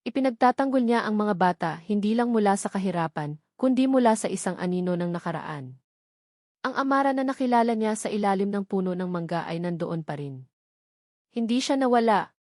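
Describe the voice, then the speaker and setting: A woman's voice: mid-range voice, lively intonation, a little fast, a little mellow, no echo, clean studio sound. A woman with a Filipino accent narrating a dramatic love story from a script.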